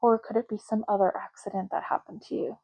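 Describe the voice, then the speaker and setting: A woman speaking softly and unhurriedly in an ASMR roleplay, playing a chatty, matter-of-fact official in short murmured phrases. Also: mid-range voice, flat delivery, fast. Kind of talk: soft-spoken ASMR roleplay.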